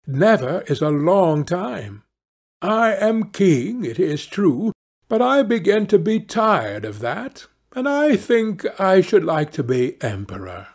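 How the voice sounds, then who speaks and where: A man's voice: high-pitched, very animated, slow, pitched above the performer's own voice, exaggerated voice. A man voicing a greedy, domineering fisherman's wife in a fairy-tale audiobook, imperious and demanding, stretching out the words. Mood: haughty, restless.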